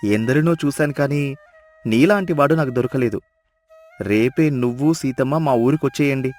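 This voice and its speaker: Man acting an earnest, commanding village gentleman, music behind. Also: mid-range voice, moderate pace, close to an everyday voice. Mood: grateful, commanding. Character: well-off village brother-in-law, grateful, emotional, commanding. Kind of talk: Telugu moral-story cartoon dubbing.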